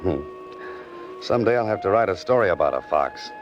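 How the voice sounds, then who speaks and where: A very low-voiced male writer on the run, cool and wry. He tosses off deadpan wisecracks in 1940s radio detective style, on a muffled old-time radio recording. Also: fairly quick, over music. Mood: dry, guarded.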